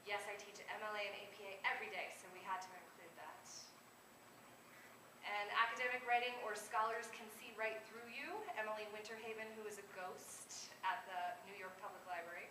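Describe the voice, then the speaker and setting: A woman with a medium-pitched voice reads humorous prose aloud as an author at a live event. She is some way from the microphone, and the room echoes around her. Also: steady tone, brisk. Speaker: author and teacher reading aloud. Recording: echoey, noisy room from far off.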